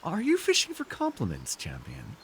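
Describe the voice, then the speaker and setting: A man's voice: on the high side, wildly swooping pitch, moderate pace, slight background noise. A man playing an arrogant, seductive fantasy warlord in an intimate roleplay, teasing and theatrical as he speaks to one listener. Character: arrogant, seductive male fantasy villain warlord, dream-wielding conqueror. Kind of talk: immersive ASMR fantasy roleplay acting.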